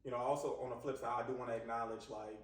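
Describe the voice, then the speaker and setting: A man's voice: medium pitch, steady tone, quick pace. A young man with a New Orleans accent, chatting as a podcast guest in a sassy, talkative way. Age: young adult. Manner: sassy, chatty, keeps asking "you know what I'm saying". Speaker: podcast guest, physical therapist. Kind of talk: casual conversation. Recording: echoey room, mic at a distance.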